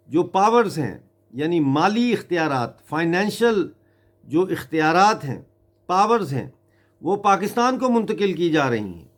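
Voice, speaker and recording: A man from Mirpur in Kashmir gives a political address as an activist, speaking gravely and deliberately with emphatic pauses. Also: high-pitched.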